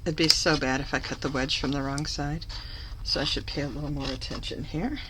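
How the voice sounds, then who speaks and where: A woman recovering from a cough, slightly hoarse, chatting through a papercraft tutorial as she works and correcting herself along the way. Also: deep voice, fairly even intonation, a little fast, noisy background. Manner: chatty, self-correcting, talks while working. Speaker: papercraft demonstrator. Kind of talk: live craft tutorial.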